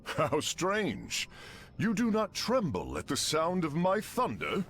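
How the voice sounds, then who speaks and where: An actor playing a big, pompous thunder god with stately, archaic speech in a video game. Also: male voice, on the high side, huge pitch swings, takes it slowly, fairly bright tone, slight background noise, full character voice. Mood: impressed, grand. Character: big, booming, grandiose Norse thunder god.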